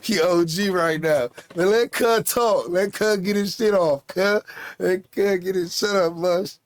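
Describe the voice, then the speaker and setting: A man's voice: sits high, measured pace, no room sound. A man giving casual, amused reaction commentary as a video host, slangy and repetitive. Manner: amused, slangy, repeats catchphrases.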